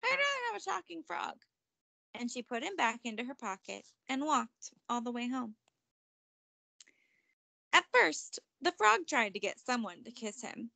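A woman reading a children's picture book aloud as narrator, expressive and emphatic. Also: fairly high pitch, measured pace, vocal fry, dry recording. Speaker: storybook reader.

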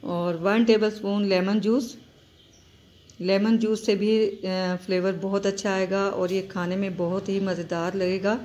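A woman hosting a home cooking channel, calmly narrating each recipe step and repeating key points. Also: mid-range voice, a little slow. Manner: steady, instructive, repeats steps.

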